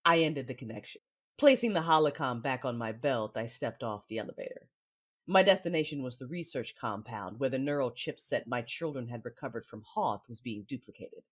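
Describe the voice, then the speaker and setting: Sith lady female actor: husky, imperious, guarded, calculating; audio drama villainess. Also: very deep voice, lively intonation, a little fast, heavily acted. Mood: brooding. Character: cold, calculating Sith lady, estranged wife and sister. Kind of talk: audio drama acting with first-person narration.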